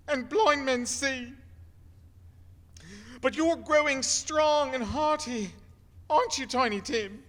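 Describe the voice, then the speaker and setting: A man's voice: very high-pitched, pushed and bright, heavily acted. A man playing a tender, forgiving father in a radio play, fond and earnest in his delivery. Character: gentle, tender, forgiving poor family father. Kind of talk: stage-like radio play acting. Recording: echoey reverberant hall.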